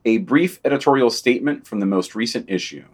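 A man's voice: mid-range voice, brisk, slightly rough. A man hosting a podcast, reading a scripted news digest and long quotations aloud. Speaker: podcast host.

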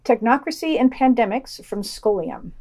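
A woman's voice: a little high, animated, moderate pace, a little mellow. Matter-of-fact woman reading podcast commentary. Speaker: podcast host reading a news roundup. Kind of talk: scripted review commentary, read aloud with quotations.

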